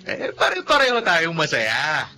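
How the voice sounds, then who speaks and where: A man playing a cheerful young graduate in a radio drama. His voice is high and bright, and he sounds warm and full of thanks. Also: huge pitch swings, unhurried, full character voice. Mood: grateful. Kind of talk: Filipino radio drama acting.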